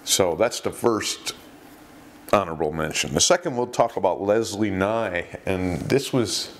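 Middle-aged male host, low voice, loose and rambling delivery, lapel mic. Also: a little slow, a little hiss or hum. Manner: rambling, many fillers and restarts. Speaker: podcast host. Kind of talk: casual storytelling commentary. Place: small indoor studio.

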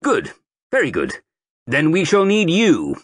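A man's voice: a little high, huge pitch swings, unhurried, dry recording, studio quality, pitched above the performer's own voice, full character voice. A man voicing a blustering middle-aged army sergeant major who whips up a crowd with a rousing recruiting speech.

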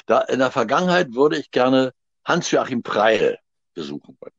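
A man's voice: mid-range voice, very animated, moderate pace, no room sound. A man in his seventies, a guest actor talking by phone; he hesitates and searches for his words. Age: 70s. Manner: hesitant, laughing, hunting for words. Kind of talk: phone interview. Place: home, on the phone. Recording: dull phone line, dry.

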